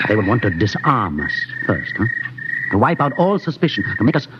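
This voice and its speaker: Grave, haunted scientist in old radio. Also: male voice, on the low side, sing-song, heavy background noise. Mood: brooding, suspicious. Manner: grave, hesitant, deliberate, formal. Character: haunted, detached middle-aged male scientist, war-camp survivor. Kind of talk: 1950s radio drama acting. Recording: muffled 1950s radio broadcast with loud music.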